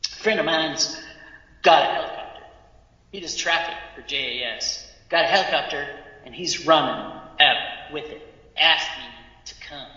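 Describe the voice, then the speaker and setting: A man's voice: a little high, moderate pace, pushed and bright, distant mic, highs cut off. A man playing an uneasy, hesitant trooper in an audio drama, speaking colloquially in an echoey room. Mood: nervous, hesitant. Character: uneasy young male SWAT trooper, hesitant, anxious.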